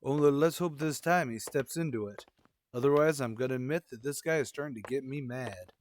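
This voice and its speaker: A man's voice: medium pitch, fairly quick, a little hiss or hum, close to an everyday voice. A man playing a weary, dogged police detective sergeant in a radio crime drama, speaking plainly. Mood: determined.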